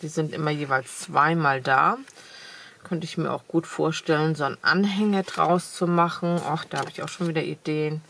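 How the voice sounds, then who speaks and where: A woman's voice: sits low, fairly even intonation, normal speed, vocal fry, fairly bright tone, slight background noise. A woman with a cold, chatting casually and enthusiastically while showing craft supplies at her desk. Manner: chatty, enthusiastic, many fillers. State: has a cold. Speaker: crafting vlogger. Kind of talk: casual haul video. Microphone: camera over a desk, hands only.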